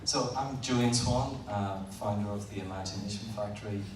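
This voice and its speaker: A man with a Northern Irish accent giving a live presentation to an audience as an engineer, speaking plainly and a bit haltingly with frequent fillers. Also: on the low side. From Northern Ireland. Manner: plain, a little halting, with frequent "uh" and repeated words. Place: large event hall. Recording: roomy, distant event recording with background noise.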